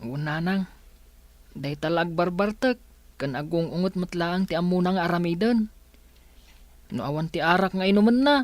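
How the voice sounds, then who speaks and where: A man's voice: high voice, moderate pace, pauses often, dry recording, lightly acted. A man playing a resentful young son in a radio drama, complaining plaintively. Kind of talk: Philippine radio drama acting.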